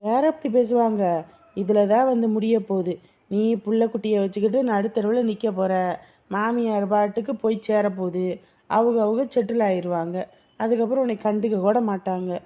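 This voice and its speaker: An actress playing a scheming young sister-in-law who talks bluntly and persuasively. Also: female voice, medium pitch, steady tone, normal speed, warm tone, lightly acted.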